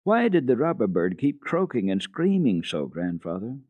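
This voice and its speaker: A man's voice: sing-song, moderate pace, dry recording, narrow, tinny audio. A man voicing an eager, cheerful little mountain girl in a raised, questioning voice, from an old radio recording. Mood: anxious questioning. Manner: high, pleading, wide-eyed questioning.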